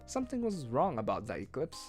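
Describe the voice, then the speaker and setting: A man reading a story aloud as host of a read-along, with expressive narration and casual asides. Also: medium pitch, fast. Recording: music behind.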